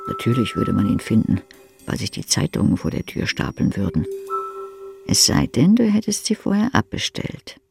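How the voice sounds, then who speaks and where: A man with a creaky voice narrating a literary audiobook in the second person. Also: on the low side, wildly swooping pitch, normal speed, soft background music. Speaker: audiobook reader.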